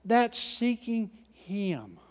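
Folksy Oklahoma preacher, soft then loud. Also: male voice, sits high, big pitch swings, drawn-out words, long reverb tail. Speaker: pastor. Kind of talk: sermon. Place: church.

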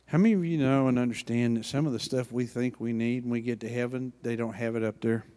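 A man with an Oklahoma accent preaching a sermon in a church, with a folksy manner, dropping low and quiet and then rising loud. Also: fairly even intonation, fast, long reverb tail. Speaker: pastor.

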